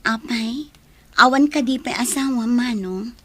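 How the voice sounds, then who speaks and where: A woman's voice: a little high, measured pace, sharp tone. A woman with a Filipino Ilocano accent playing a hardworking, friendly and frank single mother in a radio drama. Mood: frank, sympathetic.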